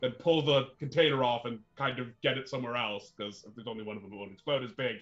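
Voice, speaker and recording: A man playing a tabletop game, chatting casually and explaining plans in a halting way. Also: medium pitch, steady tone, lo-fi sound.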